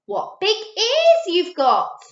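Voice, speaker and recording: A woman voicing a naive young girl in a slow sing-song manner in a storybook. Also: very high voice, wildly swooping pitch, voice pushed up, heavily acted. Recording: roomy, slightly dull recording.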